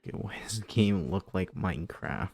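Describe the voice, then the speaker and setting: A man's voice: deep voice, animated, moderate pace, a little mellow, breathy edge. A man giving casual live commentary while streaming a game.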